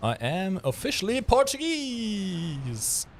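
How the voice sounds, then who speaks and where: A man's voice: high voice, huge pitch swings, slow pace, slight background noise. A man from Germany with a German accent, hosting a game livestream with casual, explanatory commentary and jokey chat.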